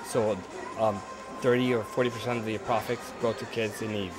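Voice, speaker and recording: A painter and photographer from Cuba giving short, blunt, earnest answers in an interview at an outdoor art event. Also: male voice, fairly low pitch, flat delivery, normal speed. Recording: crowd chatter and music behind.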